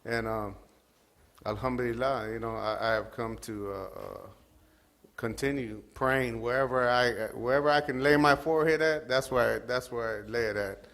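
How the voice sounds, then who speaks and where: A man on a panel calmly sharing personal testimony, reflective and peppered with "you know". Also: mid-range voice, normal speed, vocal fry.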